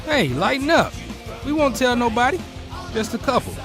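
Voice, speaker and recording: A man's voice: extremely high pitch, big pitch swings, moderate pace, music in the background, exaggerated voice. A man acting a brash, teasing teenage boy in an audio drama, slangy and needling, recorded at a distance from the mic. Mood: playful goading.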